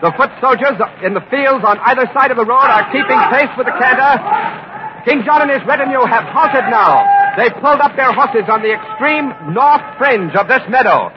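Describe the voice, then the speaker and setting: A man's voice: very high voice, moderate pace. A man acting as an on-the-scene reporter in a vintage radio news drama, describing events as they unfold. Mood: urgent. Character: urgent male field reporter describing a live event. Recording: muffled, noisy vintage radio recording.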